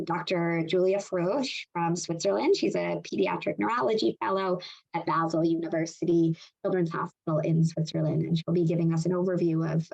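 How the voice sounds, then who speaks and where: A woman moderating a medical webinar over a video call, measured and with frequent fillers. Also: lowish voice, creaky, a little mellow, breathy edge, lo-fi sound.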